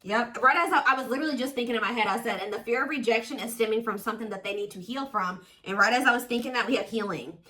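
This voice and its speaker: A woman's voice: fairly high pitch, racing, few pauses, pushed and bright. A woman giving a casual, intuitive tarot reading to viewers, speaking informally and with emphatic assurance. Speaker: tarot reader, host.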